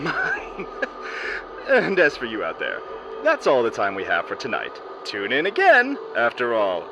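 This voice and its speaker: A man's voice: medium pitch, creaky, full character voice. A man playing a gloating, menacing demon who hosts a radio horror show and collects souls. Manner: menacing, theatrical. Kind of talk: 1940s radio horror acting. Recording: noisy old-radio style sound.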